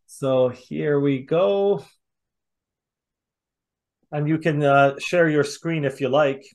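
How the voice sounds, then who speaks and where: A man's voice: fairly high pitch, slow pace, a little mellow. A middle-aged man hosting a casual interview over a video call. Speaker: host, interviewer. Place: indoor room on a video call. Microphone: video call microphone.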